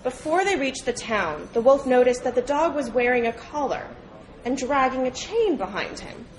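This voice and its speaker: A woman's voice: high-pitched, animated, moderate pace, poor audio. A woman reading scripted animal fables aloud as a storyteller at a public program.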